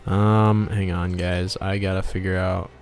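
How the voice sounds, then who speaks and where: A man's voice: low-pitched, little pitch movement, measured pace, soft background music. A man streaming casually as an indie game developer, laid-back, thinking aloud and muttering asides as he draws. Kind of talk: casual art livestream chat.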